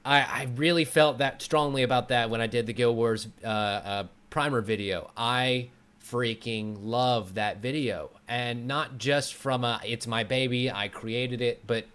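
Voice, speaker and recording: Male host for an informal interview, medium pitch, emphatic and full of his own opinions. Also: steady tone, measured pace, hardly any pauses, fairly bright tone, clear, resonant tone. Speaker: talk show host. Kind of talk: casual interview chat.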